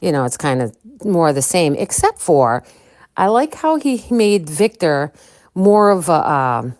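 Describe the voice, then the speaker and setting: A woman from Chicago chats casually to her podcast listeners. She sounds excitable, with a low-pitched voice and plenty of ums and restarts. The recording is dry and close. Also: measured pace, no room sound. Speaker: podcast co-host.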